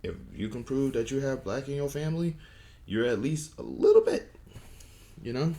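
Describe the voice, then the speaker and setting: A man's voice: medium pitch, lively intonation, moderate pace, mellow. A young man with a lisp and a stutter, rambling through a casual opinion monologue with many fillers and restarts. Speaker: wrestling vlogger.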